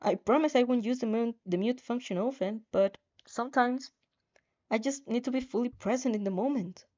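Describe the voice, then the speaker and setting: A woman's voice: on the high side, moderate pace, darkish tone, muffled recording, close to an everyday voice. A woman voicing a friendly, earnest story protagonist in a casual manner during a fan fiction reading. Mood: pleading.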